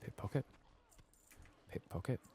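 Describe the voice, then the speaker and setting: A man giving soft-spoken, sometimes whispered ASMR game commentary, muttering casually and swearing to himself. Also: measured pace, noisy background. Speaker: ASMR gaming streamer.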